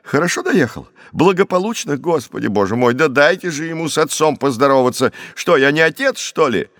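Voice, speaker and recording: Guy reader doing an excited flustered father, voice pushed higher, fussy, audiobook. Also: a little fast, no echo, clean studio sound. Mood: flustered joy. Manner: flustered, exclaiming. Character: excitable fussing father greeting his son. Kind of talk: audiobook character reading.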